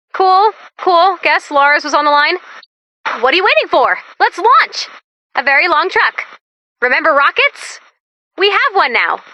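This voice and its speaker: A woman's voice: extremely high pitch, pushed and bright, no room sound, heavily acted. A woman voicing an upbeat, quirky female robot assistant who guides the commander in a video game, with a chatty, theatrical, comic manner.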